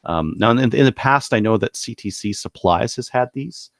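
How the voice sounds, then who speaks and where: A man co-hosting a podcast, casually running through products in a halting, thinking-aloud way. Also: medium pitch, animated, no room sound, studio quality. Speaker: podcast co-host presenting gun deals.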